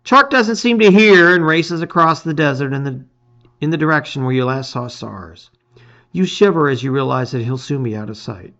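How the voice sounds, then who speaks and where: A man reading a gamebook aloud in expressive, emphatic storytelling, with casual asides. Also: a little high, moderate pace, highs cut off.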